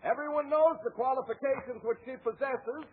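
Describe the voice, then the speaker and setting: A man's voice: very high voice, a little fast. An actor playing a pompous, long-winded convention orator, declaiming his speech in 1940s radio comedy style. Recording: tinny, noisy 1940 radio broadcast.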